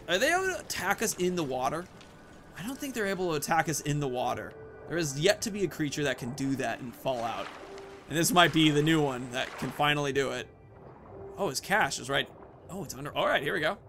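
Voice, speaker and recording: A man's voice: on the high side, pushed and bright, slight background noise. A man hosting a gaming video, giving casual commentary and thinking aloud about his moves and choices. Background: video game music.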